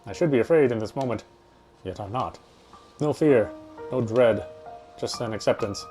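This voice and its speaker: A man's voice: mid-range voice, normal speed, mellow, soft background music. A man reading first-person story narration aloud in a dramatic way as he plays a visual novel.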